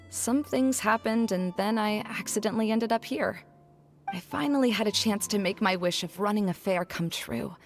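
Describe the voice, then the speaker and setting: A woman's voice: medium pitch, natural voice. An actress voicing a dejected, self-doubting young woman scholar in a video game cutscene, speaking in an earnest, confessional way. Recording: game music under the voice.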